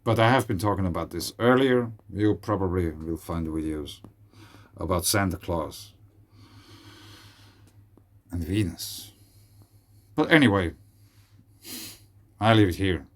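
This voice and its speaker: A man with a Swiss German accent talks to camera in an unhurried, meandering way. His voice sits fairly low, and he drifts slowly from thought to thought, stopping often for fillers and restarts. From Switzerland. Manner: rambling, hesitant, with frequent fillers. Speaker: video creator. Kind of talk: casual monologue.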